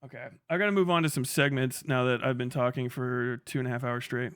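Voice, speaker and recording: A young man talks loosely through a long livestream, reading chat, cursing and chuckling between thoughts. His voice sits at an ordinary middle pitch. The sound is close and dry, with no room around it. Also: fairly even intonation, brisk, fairly bright tone, smooth, no echo. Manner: rambling, profane, laughs often.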